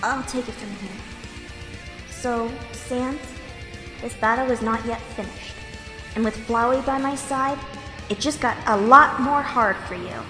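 A woman voicing a kind, determined human child in a dramatic comic dub, earnest and gentle in delivery. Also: fairly high pitch, a little slow, lightly acted. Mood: resolute. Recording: echoey reverb, guitar music behind.

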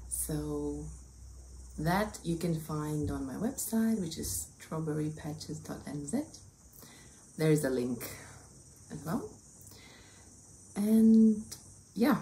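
A woman's voice: low voice, mellow, a little hiss or hum, rough recording. A woman in her thirties with a Russian accent, hosting a crafting podcast in a friendly chatty way, recorded indoors at home on the camera mic. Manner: friendly, chatty, gestures with both hands. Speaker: podcast host. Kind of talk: casual chat to camera. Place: indoors, small home room.

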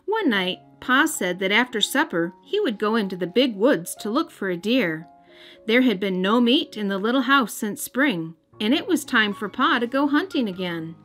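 A woman's voice: a little high, sing-song, normal speed. American woman reading a children's story over music. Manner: gentle, careful storytelling for young children. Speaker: children's storybook reader and host. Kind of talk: scripted read-aloud narration. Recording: music under the voice.

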